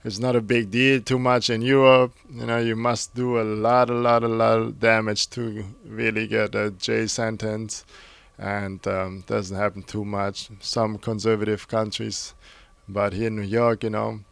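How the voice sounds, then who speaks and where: A man, a graffiti artist, explaining things in a relaxed, matter-of-fact way. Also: lowish voice, a little slow, gravelly, smooth, no echo. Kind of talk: casual interview answers.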